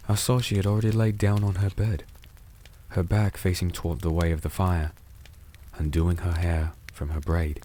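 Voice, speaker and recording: An actor playing a weary, disenchanted crusader who narrates a dark fantasy audio drama in a slow, solemn, gentle way. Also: male voice, low-pitched. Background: music with rain sounds.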